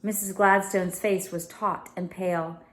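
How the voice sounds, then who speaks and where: Woman, storyteller, read-aloud narration, mid pitch, casual explanatory asides. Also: flat delivery, measured pace, fairly bright tone. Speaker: storyteller reading a children's novel aloud.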